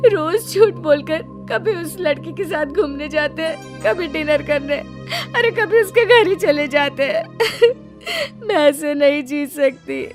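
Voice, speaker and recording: Woman voicing a dramatic, high-pitched young daughter-in-law. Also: lively intonation, slow pace, faint music behind. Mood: sobbing, betrayed. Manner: taunting, dramatic delivery. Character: sharp-tongued, defiant young daughter-in-law, emotional and suspicious.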